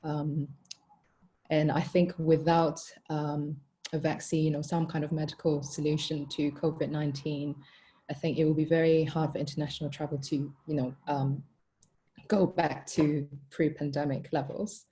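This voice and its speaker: A woman's voice: sits low, level tone, normal speed, warm tone, low-quality recording. A young woman born in Hong Kong and raised in Italy and Malaysia, answering interview questions as a hotel co-founder, thoughtful, with frequent ums and "you know".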